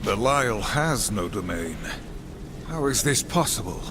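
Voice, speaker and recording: A man's voice: lowish voice, very animated, measured pace, background noise. An actor playing a stern, solemn horseman warrior in a video game, speaking in a clipped, commanding way. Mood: questioning, impatient. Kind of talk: dramatic video game cutscene acting.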